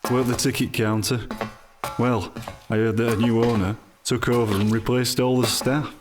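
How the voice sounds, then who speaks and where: A man playing a calm, wry, practical thief in a fantasy audio drama. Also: medium pitch, moderate pace, over music, close to an everyday voice.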